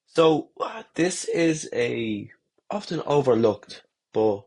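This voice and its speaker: A man with a head cold, congested and slightly husky, giving runners a relaxed, rambling talk-to-camera lesson with fillers and restarts. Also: medium pitch, slow. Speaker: running coach.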